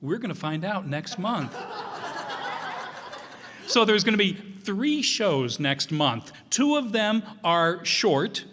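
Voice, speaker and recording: Slow-talking, higher-voiced man in a hall. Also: a bit bright. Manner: rambling, self-deprecating. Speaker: director previewing upcoming shows. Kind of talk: informal talk to a live audience. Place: live stage in a hall. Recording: reverberant hall.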